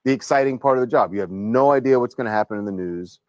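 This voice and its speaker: A man's voice: medium pitch, fast talker, darkish tone, no room sound. A man hosting an entertainment news show, bantering with co-hosts in a laid-back, joking way. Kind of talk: studio banter. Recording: dry, dull clip.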